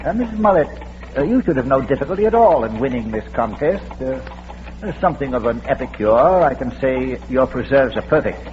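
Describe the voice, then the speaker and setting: A man's voice: mid-range voice, lively intonation, brisk, lightly acted. A man acting an erudite amateur detective in a vintage radio mystery. He talks in urbane, wordy, theatrical phrases with dry wit. The recording is muffled, with a light hiss. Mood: genial, droll. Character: erudite, droll bearded schoolmaster and amateur detective.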